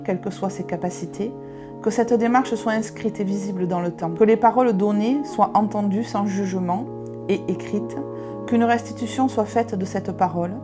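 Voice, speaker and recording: A woman reads a documentary voice-over in an even, measured way, at a middle pitch. Clear music plays beneath her words. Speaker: social centre staff narrator.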